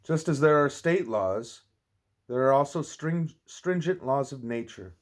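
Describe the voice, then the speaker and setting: A man's voice: medium pitch, expressive, measured pace. Man reading a spiritual text aloud. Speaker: audiobook reader.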